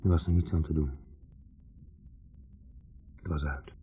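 A man's voice: rumbling low, fairly even intonation, measured pace, soft background music. An actor playing a resigned man recalling a lost love in a 1960s radio drama, speaking in terse, subdued phrases. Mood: resigned, sad. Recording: dull vintage radio recording.

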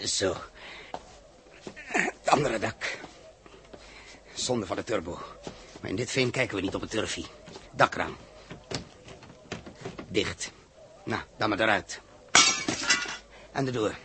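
Commanding, animated pilot team leader. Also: male voice, fairly low pitch, slow, long pauses. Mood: self-assured. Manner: commanding, resolute. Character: Joost, decisive daring male pilot and team leader. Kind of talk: 1960s radio drama acting. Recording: faintly noisy 1960s radio recording.

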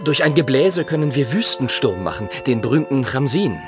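A man's voice: mid-range voice, expressive, normal speed, natural voice. Man playing a precise, dutiful assistant. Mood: matter-of-fact. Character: dutiful, formal English assistant, understated. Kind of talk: radio drama acting. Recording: prominent music behind the voice.

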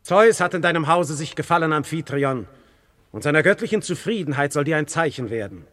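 An actor playing a majestic, lofty god in a classical verse radio drama, declaiming solemnly. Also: male voice, fairly high pitch, lively intonation, fairly quick, fairly bright tone, no room sound. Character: commanding god Zeus in a husband's likeness, majestic, lofty.

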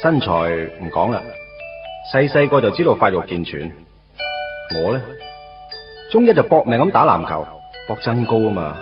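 A lovesick man in his late twenties, looking back on first love in a Cantonese radio drama with a Hong Kong accent. His voice is fairly low and moves expressively as he tells his story. The recording is a little dull, with clear music underneath. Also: big pitch swings, normal speed, long pauses, recorded from a distance. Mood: nostalgic, wry. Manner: nostalgic, conversational storytelling. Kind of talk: radio drama acting, first-person narration.

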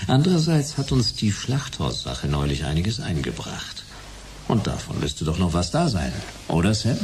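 Hard-boiled radio detective: deep, dry, terse, world-weary, with swinging inflection. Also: male voice, huge pitch swings, normal speed, background noise. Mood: grumbling, wry. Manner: laconic, sardonic, terse. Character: weary, dry-witted private detective, man, narrating his case. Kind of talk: hard-boiled radio play narration and dialogue. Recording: music behind the voice.

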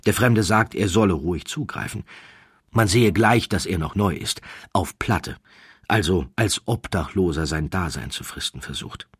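A man reading a scripted literary story as an audiobook narrator, in a measured, deliberate storytelling manner. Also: low-pitched, a bit bright, slightly rough, dry recording, studio quality.

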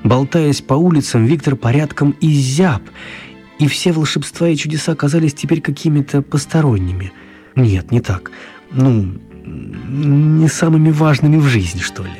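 A man's voice: a little high, lively intonation, normal speed, warm tone. An actor narrating an audio drama as a writer telling his own magical tale. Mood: storytelling. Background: music.

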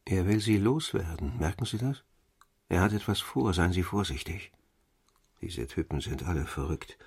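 A man's voice: deep voice, normal speed, a little mellow. An actor playing an anxious, formally spoken man giving urgent warnings in a radio drama. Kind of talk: German radio drama acting.

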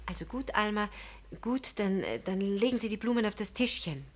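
A woman's voice: mid-range voice, lively intonation, normal speed, natural voice. A woman playing a proud, passionate young fiancée in a radio drama, speaking emphatically and with dramatic feeling. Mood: flustered. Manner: emphatic, dramatic, swinging from indignant to tender. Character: proud, passionate young fiancée pleading with her pastor beloved. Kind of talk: German radio drama acting.

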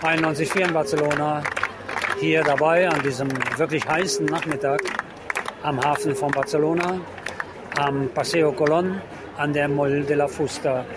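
Male field reporter amid loud crowd noise. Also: on the high side, steady tone, moderate pace. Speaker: radio reporter. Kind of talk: live on-site radio report. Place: outdoors at a busy city harbour. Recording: loud crowd and outdoor urban harbour noise. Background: large crowd chanting and applauding.

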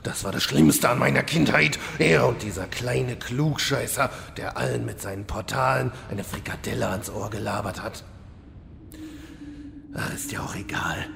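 A man's voice: mid-range voice, normal speed, gravelly, fairly bright tone, long reverb tail, slight background noise. A man playing a vain, insecure, fussy demon lord in a fantasy parody audio drama, ranting in theatrical exasperation. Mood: envious, petulant.

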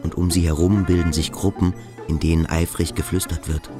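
A man's voice: deep voice, level tone, fairly quick, over music, lightly acted. An actor playing a defiant, reflective teenage boarding-school boy in a German radio play, with a terse, brooding manner. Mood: quiet, grave.

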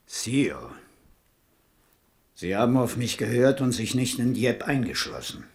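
A man's voice: mid-range voice, big pitch swings, a little slow. An actor playing a seasoned older military commander who earnestly counsels his king in a radio drama. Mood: urging. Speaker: marshal advising the king.

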